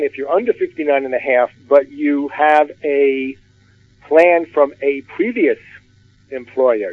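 Man, plan expert, measured explanation, tinny phone-line sound, dry. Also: medium pitch, no echo. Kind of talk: radio finance discussion. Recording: phone-like narrow band.

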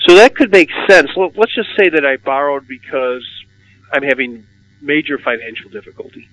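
A man's voice: fairly high pitch, animated, normal speed, a bit bright, no echo. A man hosting a radio finance discussion, asking questions and often repeating words as he starts. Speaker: radio host. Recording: dull lo-fi radio sound.

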